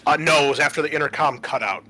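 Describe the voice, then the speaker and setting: A man narrating a tabletop roleplaying game as game master, explaining in a halting way. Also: a little high, sharp tone.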